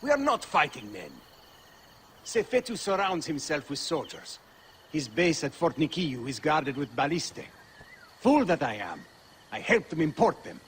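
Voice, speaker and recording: An actor playing a troubled, regretful villager in a historical video game. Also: male voice, a little high, sing-song, normal speed, slight background noise. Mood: bitter regret. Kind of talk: video game character acting.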